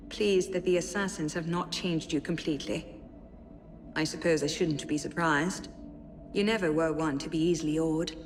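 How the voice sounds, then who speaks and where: An actress playing a spirited young French noblewoman in a video game, reading letters aloud in an expressive, measured, articulate way. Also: lowish voice, slight background noise, lightly acted. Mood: tender, reserved.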